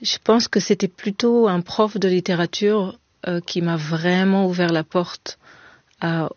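A woman's voice: on the low side, steady tone, no echo. Thoughtful woman. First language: Hebrew. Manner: thoughtful, halting, often restarts phrases. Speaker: musician guest. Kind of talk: interview answers. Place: radio studio. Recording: dry, slightly dull studio sound.

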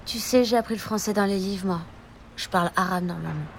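A woman's voice: mid-range voice, quick pace, creaky, slight background noise, lightly acted. An actress playing a sullen, blunt Egyptian boy in an audio drama.

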